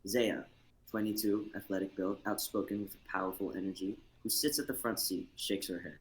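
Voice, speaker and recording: Casting: young male narrator for screenplay read-through, fairly low voice, home livestream with faint noise. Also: fairly even intonation, fairly quick, poor audio. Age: young adult. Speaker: host and narrator.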